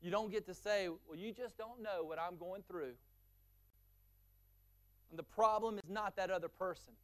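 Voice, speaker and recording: A male pastor preaching a sermon, building force through long lists and dropping low and quiet for key points. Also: moderate pace.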